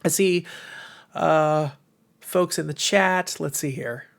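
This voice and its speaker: An American man with a tired, slightly hoarse voice chats casually and enthusiastically as the host of a livestream, rambling informally to viewers. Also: a little high, slow. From United States. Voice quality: tired, strained voice. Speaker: livestream host, game publisher.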